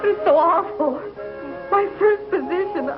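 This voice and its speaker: An actress playing a gentle, proper young schoolteacher in distress, in a 1950s television western. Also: female voice, very high voice, unhurried. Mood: distraught. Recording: dull old TV soundtrack with music.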